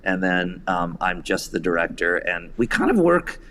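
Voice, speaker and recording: A man's voice: low voice, very animated, moderate pace, a bit bright, slight background noise. An American man talking reflectively as an interview guest about his creative work. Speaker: podcast guest, theater writer and director.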